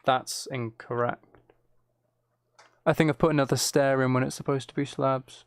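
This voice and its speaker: Gravelly man thinking aloud over a game. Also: mid-range voice, fairly even intonation, moderate pace, dry recording. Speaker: streamer playing a building game. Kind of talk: casual gameplay commentary, chatting with viewers.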